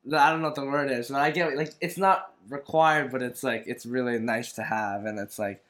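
A man's voice: mid-range voice, fairly even intonation, brisk, a bit bright. A young man conducting a casual interview, informal and rambling, with lots of filler words as he works out his questions.

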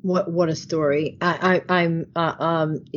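Low-voiced woman hosting an interview. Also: fairly even intonation, unhurried, a little hiss or hum. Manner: wordy, searching questions with restarts. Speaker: interview host. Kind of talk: interview questions. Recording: dull lo-fi audio.

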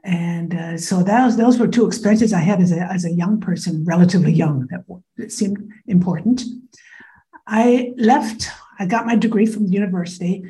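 A woman's voice: mid-range voice, moderate pace, warm tone, low-quality recording. An American woman in her fifties, a guest speaking thoughtfully and frankly in an interview, with many small fillers. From United States (Iowa). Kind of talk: conversational interview.

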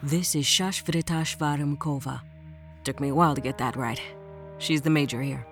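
A woman's voice: low-pitched, moderate pace. A woman playing a tough, enigmatic exile in an audio drama, with a blunt, defiant, matter-of-fact manner. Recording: music under the voice.

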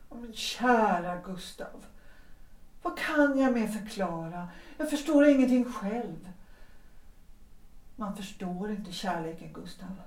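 A roomy, slightly distant recording of an actress as a resolute wife in a period radio play. She speaks lines in a measured, formal and deliberate way, at a middle pitch for a woman. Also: lively intonation, darkish tone, lightly acted. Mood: resigned. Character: resolute married woman leaving her husband for love, 1906. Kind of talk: period stage-play audio drama acting.

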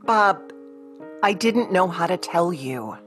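An actress playing a patient, practical ex-wife in a radio play, with a natural, placating way of talking. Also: female voice, fairly low pitch, expressive, slow pace, fairly bright tone, soft background music. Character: patient, practical career woman, an exasperated ex-wife.

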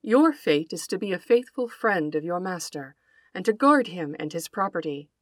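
Woman voicing a solemn god figure, measured, pronouncing fates, dry sound. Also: fairly low pitch, very animated, dry recording. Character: solemn divine creator, male, measured.

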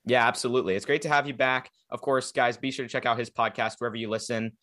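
A man's voice: mid-range voice, steady tone, fast talker, pushed and bright. A young man hosting a sports podcast in a casual, rambling chat with a guest. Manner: rambling, filler-heavy, conversational. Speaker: podcast host.

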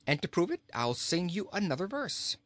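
An irrepressibly cheerful storybook king, put on by a man, lifted high and swooping merrily up and down. He teases in a chuckling, singsong way. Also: sing-song, measured pace, fairly bright tone. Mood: cheery, chuckling. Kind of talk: storybook character voice.

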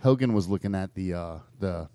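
A man with a slightly low voice co-hosts a casual comic podcast. His humor is crude and tongue-in-cheek, and the recording is dry and close. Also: fairly quick, dark tone, no echo. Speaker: podcast co-host.

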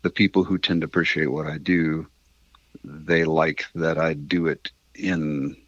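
A middle-aged man with a husky voice, chatting candidly and casually as an interview guest, rambling a little and swearing mildly. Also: rumbling low, level tone, unhurried, fairly bright tone, no room sound, highs cut off. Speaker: interview guest, independent musician.

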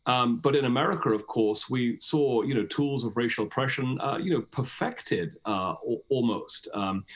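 A man's voice: mid-range voice, lively intonation, normal speed, fairly bright tone. A man with a British accent, speaking as an academic guest in an explanatory, discursive and emphatic interview style.

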